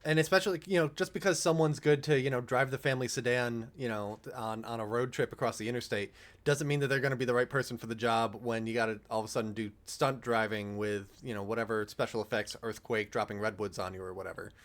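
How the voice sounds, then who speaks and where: A man's voice: mid-range voice, fairly even intonation, fast, few pauses. A man talking casually in a group commentary, analytical and discursive, piling up examples as he goes. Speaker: panelist in a cast and crew commentary.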